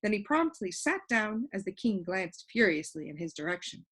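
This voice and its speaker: A woman narrating a children's storybook as an audiobook reader, in an expressive, deliberate storytelling manner. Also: medium pitch, slightly rough.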